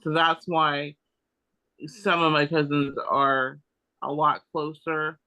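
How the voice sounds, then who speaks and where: High, bright-voiced man, slow and halting. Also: flat delivery. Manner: halting, thinking aloud. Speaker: participant in a genealogy group discussion. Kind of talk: casual chat.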